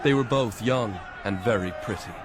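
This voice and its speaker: A man's voice: fairly low pitch, moderate pace, a crowd in the background, lightly acted. An actor playing a plain-spoken, shaken army corporal, telling his war story earnestly and emphatically in a radio drama. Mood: grim, regretful. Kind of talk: dramatic radio monologue, memoir testimony. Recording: noisy radio drama recording.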